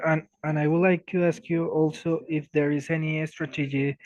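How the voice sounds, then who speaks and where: A male analyst puts questions in a halting way. His medium-pitched voice has little melodic movement and comes through a dull, lo-fi call line. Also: no echo. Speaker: financial analyst asking questions.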